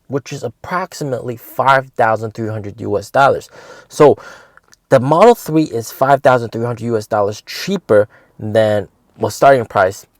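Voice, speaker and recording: Guy doing a casual car vlog, emphatic, mid-pitched voice, pretty dry sound. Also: no room sound. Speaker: host of an electric car stock channel.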